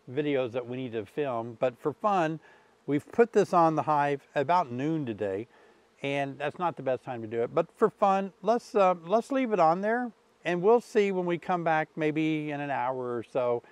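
A man's voice: a little high, dry recording. A man in his sixties hosting a beekeeping vlog outdoors by his hives, explaining in a friendly, easygoing way with fillers, on a lapel mic. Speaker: beekeeping channel host. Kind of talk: casual instructional vlog. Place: outdoors beside beehives.

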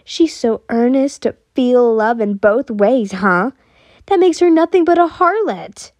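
A jealous, lovesick young man, played by a woman, ranting in a high, soft-toned voice with dramatic emotion. The story character sounds unhinged and obsessive, recorded close and dry. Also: takes it slowly, no echo. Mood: bitter, anguished.

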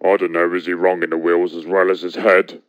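A man voicing a surly, combative cartoon steam engine, gruff, blunt and insulting. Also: low-pitched, level tone, fairly quick, no room sound, lo-fi sound, lightly acted. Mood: grumbling. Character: surly, foul-mouthed male steam engine, younger, combative. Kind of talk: fan animated game cutscene acting.

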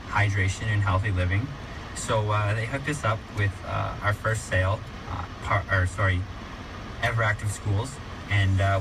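A man's voice: on the low side, distant mic, background noise. A young man, talking casually as an interview guest. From Alberta, Canada. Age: teens, high school senior. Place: radio studio.

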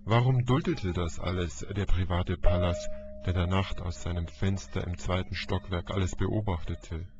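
A man narrating a literary audiobook in a dramatic, deliberate, declamatory manner. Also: deep voice, fairly even intonation. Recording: dull lo-fi audio, music behind. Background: music.

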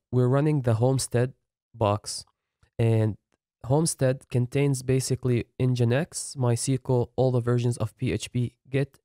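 A man's voice: mid-range voice, warm tone, clean tone, no echo, clean studio sound. A man narrating a screen-recorded coding tutorial, explaining each installation step plainly. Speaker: software tutorial instructor.

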